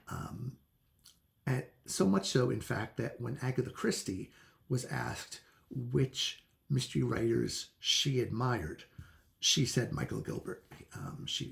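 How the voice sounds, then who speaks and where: A man with a mid-pitched voice and very heavy creak gives an informal book review. His intonation is animated and his opinions come through with enthusiasm. He speaks off the cuff, often hesitating as he hunts for the right word. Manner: hesitant, searching for words. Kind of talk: casual unscripted book review to viewers.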